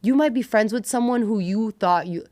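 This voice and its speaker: A woman's voice: fairly high pitch, brisk, darkish tone, no echo. A woman guest on a podcast, chatting casually and informally with youthful slang. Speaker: podcast guest, content creator. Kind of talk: casual chat.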